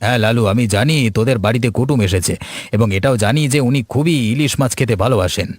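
A man's voice: medium pitch, fast, no room sound, natural voice. A man voicing a friendly, sympathetic village shopkeeper who chats and advises like an elder brother in a cartoon. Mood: chatty. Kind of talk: cartoon story dialogue.

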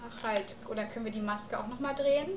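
A young woman talking casually through a game at home, musing aloud as she works on puzzles. Her voice sits a little high. The recording is roomy, with a steady hiss of noise behind it. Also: quick pace. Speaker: let's player.

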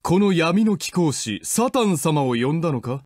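An actor voicing a grandiose, imperious demon lord who styles himself a dark prince in a game. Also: male voice, fairly high pitch, sing-song, normal speed, over music. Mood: puzzled, haughty.

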